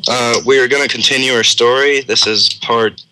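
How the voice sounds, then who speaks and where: A man's voice: medium pitch, fairly even intonation, moderate pace, background noise. A man co-hosting a storytelling series, casually improvising a story in a conversational way.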